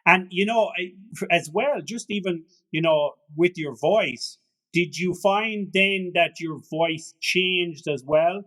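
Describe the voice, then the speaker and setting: A man's voice: sits high, slow, fairly bright tone. A man hosting an interview, asking rambling, playful questions in a casual way. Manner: rambling, jokey questions with restarts. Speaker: podcast host, interviewer.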